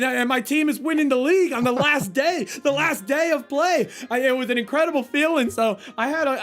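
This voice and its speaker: A man hosting a fighting-game tournament stream, hyped and stumbling over his words, a little hoarse from cheering, with game music behind. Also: very high-pitched. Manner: hyped, rapid shout-outs with frequent stammered restarts. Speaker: tournament host and commentator. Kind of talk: energetic esports stream commentary.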